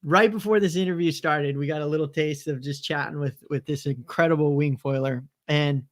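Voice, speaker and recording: A man's voice: on the high side, fairly even intonation, brisk. A man hosting a casual live interview, asking questions in an eager, effusive and praising way. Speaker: podcast host.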